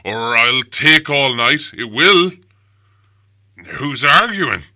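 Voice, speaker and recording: A big, dim storybook troll with a very forceful, loud voice. He swings animatedly in pitch as he blusters and grumbles in crude, colloquial speech, always spoiling for an argument. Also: expressive, slow pace, full character voice. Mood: angry bickering.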